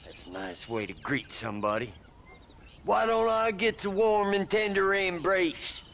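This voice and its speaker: Creaky, whining old Western drunkard. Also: male voice, high voice, lively intonation, a little slow, fairly bright tone, a little hiss or hum, exaggerated voice. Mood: indignant. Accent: American Western. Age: older. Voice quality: creaky, gravelly. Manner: defensive, blustering. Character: cantankerous, whining old drunkard, full of excuses.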